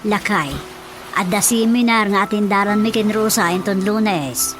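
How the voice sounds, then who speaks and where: A woman's voice: medium pitch, a little slow, close to an everyday voice. A woman with a Filipino accent acting a chatty, practical wife in a radio comedy serial. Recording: music behind the voice.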